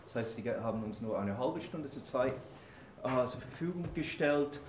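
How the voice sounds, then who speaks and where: A man with a medium-pitched voice makes an informal conference introduction as co-presenter. His manner is joking and tongue-in-cheek. He sounds away from the microphone, with plenty of room echo and clear noise. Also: animated, moderate pace. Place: conference room, before an audience. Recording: echoey room, distant mic, clear noise.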